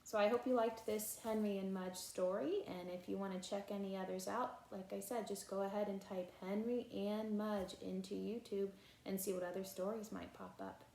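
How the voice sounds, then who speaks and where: A woman reading a children's picture book aloud in an expressive, emphatic way, with casual asides. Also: mid-range voice, normal speed, vocal fry, hardly any pauses, slight background noise. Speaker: read-aloud storyteller. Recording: echoey room.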